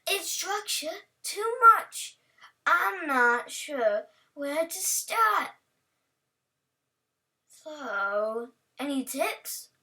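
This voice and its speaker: A woman's voice: sits high, big pitch swings, extremely slow, long pauses, pushed and bright. A woman hosting a reaction video in a casual, rambling, playful way, chatting to what she watches. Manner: rambling, playful, talks to the on-screen character. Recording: voice a bit far from mic.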